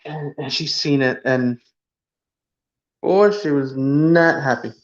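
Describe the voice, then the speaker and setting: A man's voice: mid-range voice, fairly even intonation, slow. A man from Cuba hosting a casual livestream, rambling and joking, chuckling as he talks. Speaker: livestream host. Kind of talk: casual chat. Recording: dull lo-fi stream audio.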